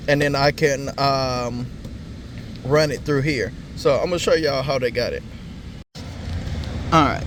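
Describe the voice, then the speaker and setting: A man talking casually and informally in slangy, swear-sprinkled speech, as a vlogger walking viewers through hands-on work. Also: mid-range voice. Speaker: car audio vlogger. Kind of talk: casual hands-on install vlog talk. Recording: noisy vehicle and outdoor phone-video sound.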